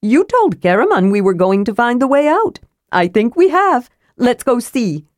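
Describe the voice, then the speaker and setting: A woman voicing a small, cheerful, curious male kender, high, bright and eager. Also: very animated, moderate pace, no room sound, studio quality.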